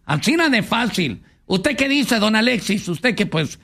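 A Mexican man hosting a comedy radio show, joking and teasing in quick banter. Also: high voice, sing-song, gravelly, sharp tone. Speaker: radio host.

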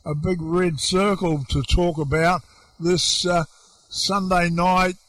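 A man hosting a casual radio interview, chatty and folksy, halting a little as he frames his questions. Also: high-pitched, little pitch movement, fairly bright tone.

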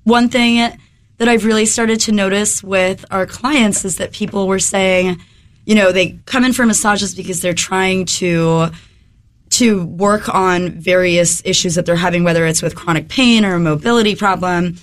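A woman interview guest, a little hoarse from a head cold, explaining things conversationally. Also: medium pitch, steady tone, normal speed. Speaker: interview guest, wellness business owner.

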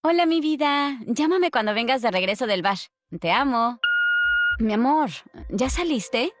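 A high-voiced loving, worried wife. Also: female voice, expressive, measured pace, over loud music. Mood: affectionate. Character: worried loving wife leaving phone messages. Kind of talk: acted radio public service ad.

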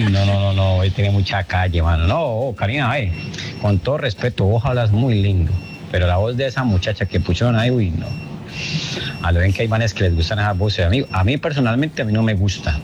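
A man with a Cali Colombian accent sending an exuberant, slangy, casual voice message to a radio show. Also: fairly low pitch. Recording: dull, noisy voice message.

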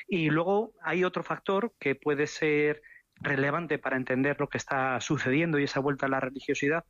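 Male academic guest, mid-pitched, measured and searching, muffled dry line. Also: fairly bright tone, no room sound. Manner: measured, thoughtful, repeats words while searching. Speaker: sociologist guest. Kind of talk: radio interview answers.

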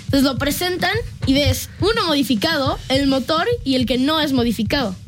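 A woman's voice: high-pitched, brisk, soft background music. A teenage girl earnestly explaining a car on a talk show. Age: teens. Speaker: guest presenting cars.

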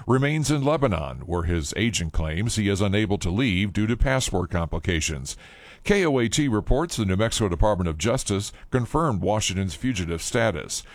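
A male local news reporter reading a scripted newscast in a steady, measured way. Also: low-pitched, no room sound.

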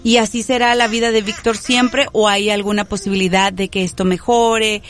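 A woman hosting a radio interview during a radiothon, speaking courteously and slowing down to stress key points. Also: medium pitch, level tone. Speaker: radio host. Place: radio studio, streamed live on video.

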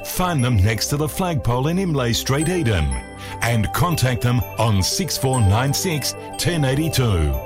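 A man's voice: medium pitch, lively intonation, unhurried. A man reading a scripted radio sponsor message in an upbeat, sing-song way. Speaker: sponsor announcer. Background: music bed.

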